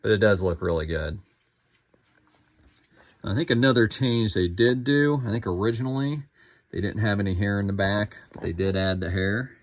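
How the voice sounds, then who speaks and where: A man's voice: fairly low pitch, expressive, moderate pace, long pauses. A man giving a casual, informal collector's product review, speaking hesitantly as he trails off and circles back over his points.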